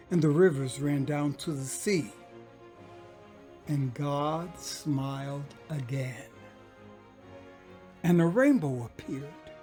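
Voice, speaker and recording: A man performing a dramatic poetry recitation, solemn and reverent, moving between hushed tones and booming declamation. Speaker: poet performing a dramatized poem. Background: music.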